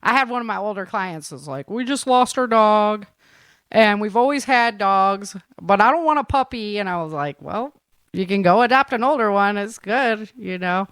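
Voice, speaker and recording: A woman's voice: mid-range voice, animated, normal speed, fairly bright tone, smooth, dry recording. Woman podcast host just chatting, giggly and rambly, really dry close sound. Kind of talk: casual chat.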